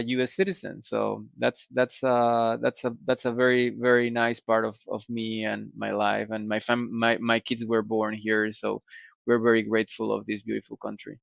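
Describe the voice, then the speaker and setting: A gravelly-voiced man with a Mexican Spanish accent answers questions in English, stopping and restarting his phrases often. He sounds like he is in his forties. His voice comes over a dry, somewhat muffled video call. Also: mid-range voice, level tone, no echo. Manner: halting, many fillers and restarts. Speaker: guest, computer scientist. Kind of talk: casual interview answers. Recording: slightly dull video call audio.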